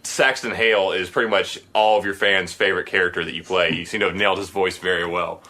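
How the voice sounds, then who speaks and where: A man hosting a casual podcast interview, eager and chatty, quick to laugh. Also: deep voice, fairly even intonation, bright, forceful tone.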